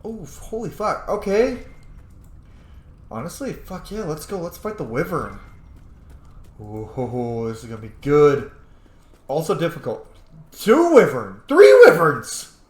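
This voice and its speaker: A man doing casual gameplay commentary in an excitable, conversational, sweary way. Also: on the high side, unhurried, pauses often, roomy sound. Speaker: gaming video host.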